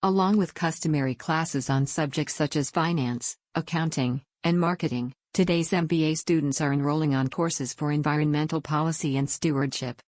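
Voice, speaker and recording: A woman reading scripted passages aloud in a measured, neutral way. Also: low-pitched, a little mellow, no echo, studio quality. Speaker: narrator reading practice passages. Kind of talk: scripted read-aloud narration.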